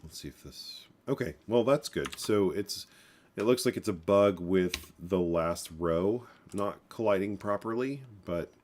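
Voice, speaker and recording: A man in his forties talks through his code on a live stream, his voice sitting very low and sounding stuffed up and congested. He rambles, circling back with fillers and restarts. Keys clatter now and then behind him. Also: a little slow, darkish tone, a little hiss or hum. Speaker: programming livestream host. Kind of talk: casual live coding talk.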